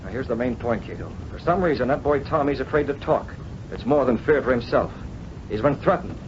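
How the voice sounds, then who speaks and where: Determined American masked avenger male actor, mid-pitched, clipped orders, vintage radio drama, dull noisy recording. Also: animated, fairly quick, lightly acted. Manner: commanding, clipped. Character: resolute male publisher, secretly a masked vigilante.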